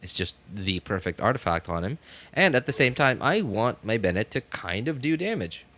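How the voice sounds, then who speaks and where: A man talking casually on a live game stream in a rambling, halting way, often correcting himself. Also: fairly low pitch, big pitch swings, fairly bright tone.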